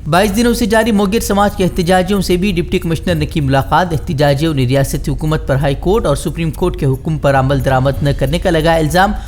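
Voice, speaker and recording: A man's voice: a little high, quick pace, few pauses, darkish tone. A man reading scripted speed news headlines as a news presenter. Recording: background music under the voice. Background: news background music.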